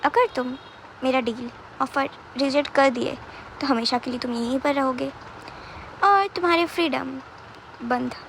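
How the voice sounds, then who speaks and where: Story villain woman acting: controlling man, cool and dismissive, high voice with noisy backing. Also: unhurried, pauses often, fairly bright tone. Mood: threatening, dismissive. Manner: taunting, unbothered, commanding. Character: smug, controlling male captor giving ultimatums.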